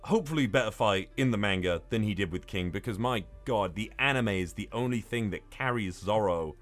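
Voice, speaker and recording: A male podcast co-host with a very lively pitch that swings up and down as he chats. He is emphatic and profane, often tripping into restarts. Some music plays behind his voice. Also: fairly quick. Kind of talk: casual excitable chat about manga.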